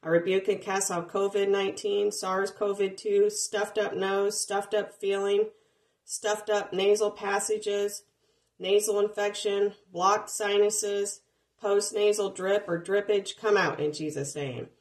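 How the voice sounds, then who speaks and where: A woman speaking a deliverance prayer, rebuking sickness in a steady, repetitive, commanding litany while reading from handwritten notes. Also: mid-range voice, a little slow. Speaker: deliverance minister.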